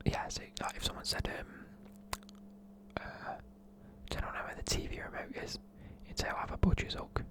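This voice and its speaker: British accent, fully whispered ASMR delivery, informal and matey, chatty explainer. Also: normal speed. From United Kingdom. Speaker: presenter explaining slang.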